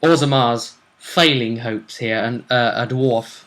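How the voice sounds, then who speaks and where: A man with a bright, forceful voice hosts a relaxed chat about a fantasy game. His pitch sits in the middle range. He talks things up with a playful sense of drama. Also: takes it slowly. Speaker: host of a tabletop role-play vidcast. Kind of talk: casual tournament preview chat.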